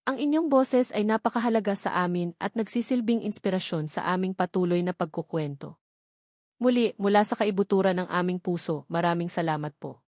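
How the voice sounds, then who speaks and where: A woman narrating a scripted love story as a storyteller, with a measured, earnest, sentimental delivery. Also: mid-range voice, darkish tone, no room sound, clean studio sound.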